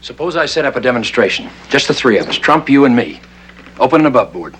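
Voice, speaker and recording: An actor playing a cool, menacing masked vigilante posing as a crime boss in a 1960s TV crime drama, speaking in clipped, unhurried threats. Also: male voice, medium pitch, animated, fairly bright tone, close to an everyday voice. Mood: controlled, probing. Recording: humming, noisy old TV soundtrack.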